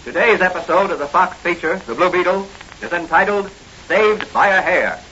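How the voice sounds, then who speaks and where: A man's voice: high voice, moderate pace, pushed and bright, slight background noise. A man narrating a 1940s radio serial as a grand, declamatory announcer. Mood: grand, rousing. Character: dramatic announcer of a crime-fighter serial. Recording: dull vintage radio recording. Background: music.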